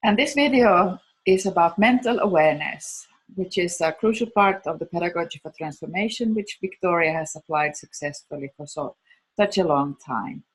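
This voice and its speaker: A woman's voice: lowish voice, normal speed, slightly rough, rough recording. A woman from Finland, hosting and introducing an educational video. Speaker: host.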